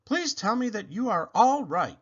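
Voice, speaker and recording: Man acting an effusive, animated doting gentleman. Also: high voice, normal speed, muffled recording, pitched above the performer's own voice. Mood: tearful relief. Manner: effusive, theatrical. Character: dramatic, doting, affectionate middle-aged gentleman.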